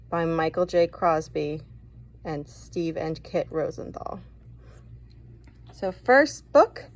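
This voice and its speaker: A woman reading a picture book to children in an expressive, guiding way, adding comments and questions as a teacher would. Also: lowish voice, dry recording. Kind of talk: read-aloud for children with commentary asides.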